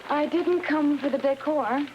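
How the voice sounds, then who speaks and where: A woman's voice: sits high, measured pace, muffled recording, noisy background. An actress playing a confident businesswoman who speaks in a cool, candid, amused way. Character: poised, confident woman business owner. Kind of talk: 1980s TV soap acting.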